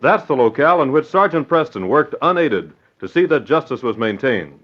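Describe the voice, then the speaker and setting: Male vintage radio announcer: theatrical, formal, widely swinging delivery, medium pitch, dull recording. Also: big pitch swings, moderate pace. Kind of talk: 1940s radio announcing and dramatic narration.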